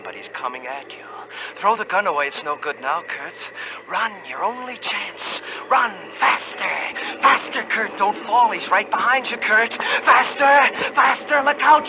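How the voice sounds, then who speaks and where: An actor voicing a haunting figure that urgently warns a doomed hunter in a 1950s radio thriller, with drawn-out dramatic delivery. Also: male voice, high voice. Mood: grim foreboding. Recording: dull vintage radio, noisy background.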